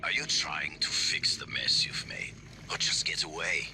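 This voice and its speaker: An actor playing a ruthless, mocking mercenary commander in a video game, with a gravelly, menacing voice. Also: male voice, a little slow, over music, heavily acted. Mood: mocking menace. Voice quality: gravelly, very deep. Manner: menacing, sardonic.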